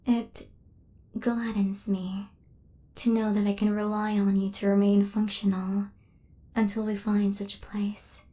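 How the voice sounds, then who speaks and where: A soft, breathy female killer robot, acted by a woman in an ASMR roleplay. The tone is dark and mellow, with very little rise and fall. The phrasing is formal and measured like a machine, yet tenderly possessive and devoted. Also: mid-range voice, little pitch movement, lightly acted. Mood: tender, attached. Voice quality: soft, breathy half-whisper. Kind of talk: intimate ASMR roleplay acting.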